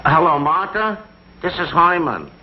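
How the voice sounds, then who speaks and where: An actor playing a hard-luck, slyly hinting telegram messenger with a hesitant, plaintive manner in a vintage broadcast comedy. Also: male voice, a little high, sing-song, unhurried. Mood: woeful. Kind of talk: 1960s broadcast comedy acting. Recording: dull vintage broadcast, loud audience, heavy noise.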